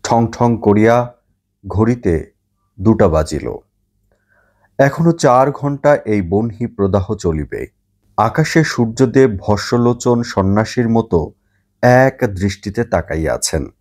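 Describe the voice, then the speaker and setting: A man narrating a literary story as an audiobook, in a measured, deliberate manner. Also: fairly low pitch, expressive, a little mellow.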